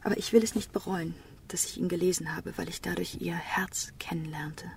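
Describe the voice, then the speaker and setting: An innocent young woman in love, devoted and earnest. She speaks in a hushed, breathy, hesitant half-whisper with a creaky edge, a little away from the mic. It is radio drama with faint noise behind her. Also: fairly low pitch, fairly even intonation, brisk, distant mic. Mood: hushed, confessing. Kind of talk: German radio drama acting.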